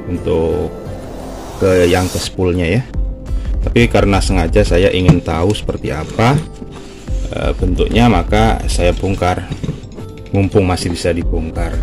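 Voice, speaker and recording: Low-voiced man chatting through a repair. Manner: relaxed, chatty, with many fillers like "nah" and "nih". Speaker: YouTube channel host. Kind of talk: casual hands-on repair demonstration.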